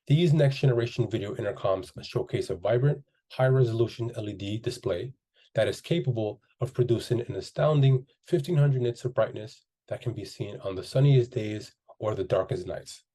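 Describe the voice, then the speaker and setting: A man in his thirties reading a scripted product training narration in a measured, polished corporate manner. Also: lowish voice, warm tone, breathy edge. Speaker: training presenter.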